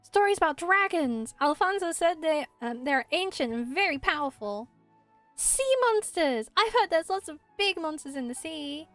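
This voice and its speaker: A woman voicing excitable, boastful small village children in a game, eager and chirpy. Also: high voice, moderate pace, no echo, faint music behind.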